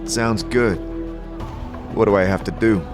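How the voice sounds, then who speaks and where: A brooding, troubled immortal wanderer, voiced by a man in a fantasy audio drama. He speaks fairly low, in a pensive and halting way, over soft music. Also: fairly even intonation, close to an everyday voice. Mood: curious.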